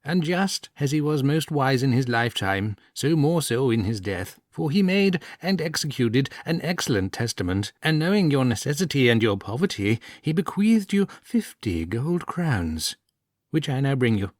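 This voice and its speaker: A man's voice: medium pitch, sing-song, normal speed, no room sound, clean studio sound, pitched above the performer's own voice, close to an everyday voice. A man voicing a simple, anxious yet crafty village priest in a storybook, with plaintive, emphatic old-fashioned speech. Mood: earnest, wheedling. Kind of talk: storybook character voice.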